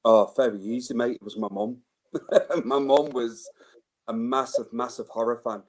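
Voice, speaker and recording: An easygoing man in his forties speaks with a Birkenhead accent, chatting as a guest. He calls everyone mate and talks at an ordinary pitch, heard through a phone on a video call. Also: fairly even intonation, normal speed, darkish tone. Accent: Birkenhead, Wirral. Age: 40s. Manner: easygoing, calls people mate, laughs along. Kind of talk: casual chat.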